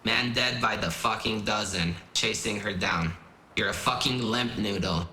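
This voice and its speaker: An actor playing a hot-headed, foul-mouthed thug in a noir audio drama, aggressive and mocking. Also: male voice, lowish voice, a little slow, bright, forceful tone, a little hiss or hum. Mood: scornful. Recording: roomy, echoing sound.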